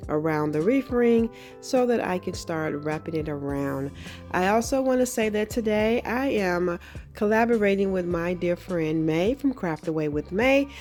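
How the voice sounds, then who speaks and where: A woman hosting a craft tutorial, slightly hoarse from a cold, talking in a friendly, chatty way with frequent ums. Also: on the low side, a little mellow. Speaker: craft channel host. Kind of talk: casual craft tutorial narration.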